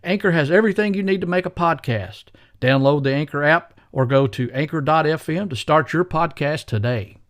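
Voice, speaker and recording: A man's voice: a little high, fairly quick, no echo, clean studio sound. A man hosting a podcast, reading scripted introductions and promotional copy. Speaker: podcast host. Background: faint music.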